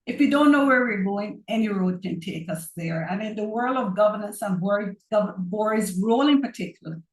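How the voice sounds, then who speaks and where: A woman's voice: medium pitch, expressive. A woman from Barbados with a Barbadian accent, giving an earnest, personal online presentation on governance. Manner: earnest, personal, measured speaker. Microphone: video call. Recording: roomy echo on a video call.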